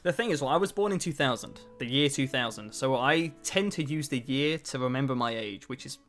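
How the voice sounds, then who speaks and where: A young man in his twenties talks casually to his livestream chat. His voice sits at a middling pitch, and he jokes at his own expense. Faint music and keyboard typing run underneath. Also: lively intonation, fairly quick, a bit bright. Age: 20s. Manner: chatty, self-mocking, answers chat between tasks. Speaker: streamer.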